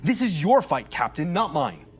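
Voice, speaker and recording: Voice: mid-range voice, big pitch swings, normal speed, breathy edge. An actor playing a principled, solemn ancient Greek male philosopher in a live audio drama, speaking in wise pronouncements. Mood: firm. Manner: solemn, sententious. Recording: live stage recording, faint room noise.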